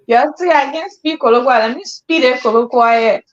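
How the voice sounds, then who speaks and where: A woman's voice: a little slow, faint music behind. Laughing Liberian woman hosting a stream. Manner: laughs often, relaxed storytelling with colloquial slang. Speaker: host. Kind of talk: casual chat livestream. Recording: muffled low-fidelity stream audio.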